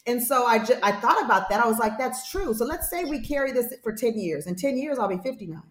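Brief: frank, emphatic woman in her 40s, higher voice, roomy sound. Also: lively intonation, quick pace. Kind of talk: candid casual chat.

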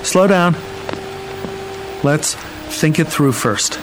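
A man's voice: a little high, animated, extremely slow, background noise. An actor in a radio drama playing a bewildered, worried father of a missing little girl. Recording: radio drama with music behind.

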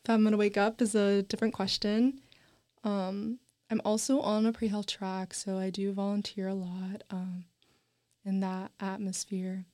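A woman's voice: mid-range voice, little pitch movement, normal speed, warm tone. A woman in her twenties, a college student chatting casually on a panel, talkative and emphatic with frequent laughs. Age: 20s. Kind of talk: casual group chat.

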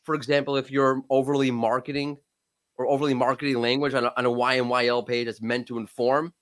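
A man's voice: medium pitch, level tone, a bit bright. A man in his late thirties with a cold and a cracking voice, talking emphatically as an expert guest in a casual discussion. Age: 30s. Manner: emphatic, quick, frequent self-restarts. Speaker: podcast guest, SEO expert.

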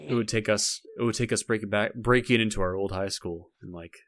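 A man's voice: fairly low pitch, a little fast, a bit bright, dry recording, studio quality. A young man co-hosting a podcast in casual chat, talking in a rambling way with lots of "like". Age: young adult. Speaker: podcast co-host.

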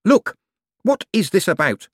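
A man's voice: on the high side, big pitch swings, normal speed, no echo, studio quality, voice pushed up. A man acting an exasperated, sarcastic con artist. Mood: indignant, baffled. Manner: exasperated, protesting. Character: harried, indignant male con artist, quick-tongued and sarcastic.

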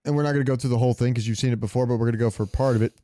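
A man's voice: medium pitch, rapid-fire, no echo. A man co-hosting a podcast, chatting casually and enthusiastically about games in slangy speech. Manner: animated fan enthusiasm, slangy. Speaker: podcast co-host. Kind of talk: casual gaming chat.

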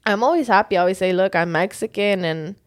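A young woman in casual conversation, speaking with animated energy. She laughs often and leans on fillers like "like" and "honestly". Her tone stays relaxed and open. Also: mid-range voice, fast. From United States. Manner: animated, laughing, frequent likes. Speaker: guest.

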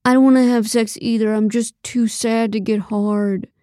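A woman acts out an emotional young man, whiny and weepy, in an audiobook. His voice is pushed higher and louder than the narration, with a dry, close sound. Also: little pitch movement, slow, dry recording. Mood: sad, sulky. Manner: bellowing, sobbing, whiny. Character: emotional, weepy young male reality-show performer.

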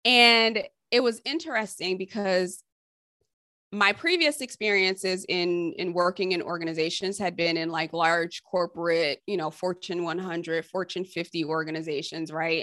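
Interviewee: woman, bright voice, reflective and circling, dry close mic. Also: fairly low pitch, no echo. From Haiti, raised in Connecticut. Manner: thoughtful, rambling, many fillers and restarts. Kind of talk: casual oral history interview.

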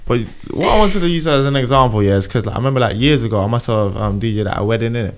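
A man's voice: medium pitch, expressive, very fast, slight background noise. A young man, talking in a casual group chat as a podcast host, laid-back and slangy with trailing fillers. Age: young adult.

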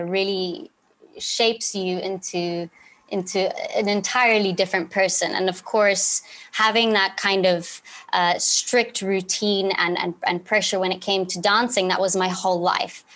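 A young woman from Zimbabwe in her twenties talks through her answers as a podcast guest. Her voice sits at an ordinary middle pitch, and she speaks earnestly and reflectively. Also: fairly bright tone. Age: 20s. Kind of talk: conversational interview answers.

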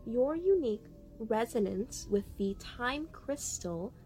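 Sci-fi audio drama: actress as snooty, impatient female engineer, higher register, technical talker, soft music behind. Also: animated, slow. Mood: condescending. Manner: condescending, technical, exasperated. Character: Sydney, condescending, impatient female chief engineer. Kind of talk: science fiction audio drama acting.

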